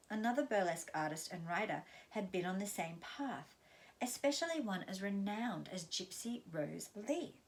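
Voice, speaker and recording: Woman reading her memoir out loud, storyteller vibe. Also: medium pitch. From London, England. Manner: expressive, storytelling.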